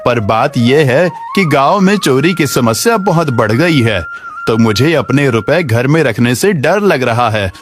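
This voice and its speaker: A man's voice: mid-range voice, animated, measured pace, music in the background, lightly acted. A man playing a humble, honest village labourer in a cartoon moral story, speaking politely and earnestly. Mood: worried, earnest. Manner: deferential, earnest.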